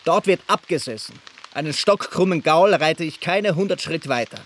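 A man's voice: a little high, animated, normal speed, close to an everyday voice. Man acting a brash, pushy young manservant, noisy scene. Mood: grim. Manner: wheedling, cocky, boastful. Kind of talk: radio play acting.

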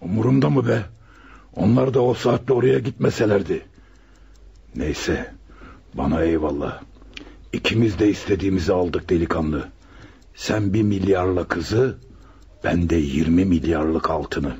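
Villain role: greedy crime boss, low gravelly growl, swaggering threats, muffled radio drama sound. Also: male voice, measured pace, pauses often, dark tone, exaggerated voice. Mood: dismissive. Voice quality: gravelly, husky. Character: menacing, greedy, distrustful male gang boss and robbery mastermind. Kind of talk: Turkish radio drama acting.

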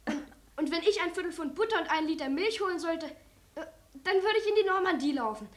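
A woman playing a cheeky, combative young boy who is quick to squabble, in a German radio play. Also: extremely high pitch, lively intonation. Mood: eager.

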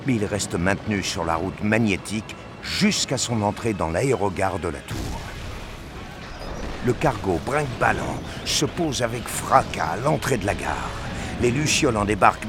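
A man narrates a sci-fi action drama in a low-pitched voice. He reads scripted prose with dramatic delivery, and music plays clearly underneath. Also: lively intonation, moderate pace.

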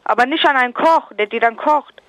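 A man's voice: very high-pitched, brisk, fairly bright tone, no echo. A man speaking spontaneously on a telephone, blunt and indignant. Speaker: private seller answering a prank phone call. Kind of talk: spontaneous phone conversation. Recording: dull, lo-fi telephone line.

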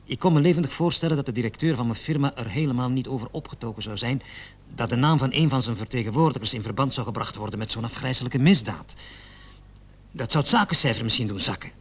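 A man's voice: medium pitch, quick pace, husky, natural voice. A man acting in a radio drama as a nervous, evasive salesman under questioning, stammering and repeating himself. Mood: anxious, pleading. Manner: stammering, flustered, repeats words. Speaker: suspect under police questioning. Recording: noisy old radio play recording.

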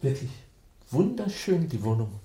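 An actor playing a polite, dryly awkward visiting writer in a German radio play, speaking in terse, understated phrases. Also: male voice, medium pitch, very animated, unhurried, warm tone. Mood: politely dry.

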